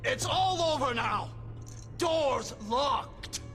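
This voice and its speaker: An actor playing a cruel middle-aged villain in a video game, foul-mouthed, threatening and bullying. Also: male voice, extremely high pitch, expressive, very slow, creaky, music in the background, heavily acted. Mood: enraged. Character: cruel, menacing middle-aged male villain hunting a child. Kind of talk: dramatic video game acting.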